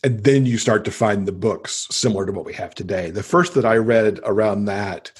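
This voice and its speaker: Man, middle-aged, low pitch, husky edge, enthusiastic podcast guest, conversational. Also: normal speed. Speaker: podcast guest, avid reader. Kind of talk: casual interview conversation about books.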